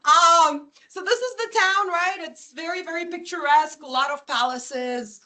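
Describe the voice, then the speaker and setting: A woman's voice: very high-pitched, moderate pace, pushed and bright. A woman from Ukraine and Lebanon, a native Ukrainian and Russian speaker with a foreign accent, giving an informal, chatty academic talk and putting questions to her audience. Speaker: visiting academic, guest speaker.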